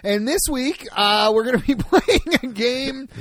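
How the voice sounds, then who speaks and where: A man co-hosting a podcast in casual banter, excitable and quick to laugh. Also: very high-pitched. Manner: excitable, laughs often, animated. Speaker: podcast co-host.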